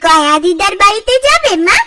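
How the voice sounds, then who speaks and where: A woman's voice: very high voice, big pitch swings, brisk, very noisy. A woman voicing an eager, outspoken young village daughter in a cartoon fairy tale.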